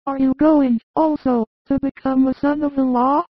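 A woman's voice: sits high, fairly even intonation, moderate pace, dry recording, dull audio, close to an everyday voice. A woman playing a young boy in a childlike manner in a dramatized religious audiobook. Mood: haughty, boastful. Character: young boys, polite or haughty, in a Bible story.

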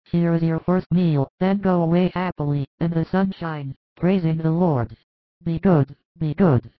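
Man voicing a high-pitched, devout, tender mother. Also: unhurried, no echo, lightly acted. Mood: gentle, cheerful. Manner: fervent, effusive exclamations. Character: devout, tender, anxious mother in biblical times. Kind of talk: dramatized scripted religious storytelling. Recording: dull lo-fi recording.

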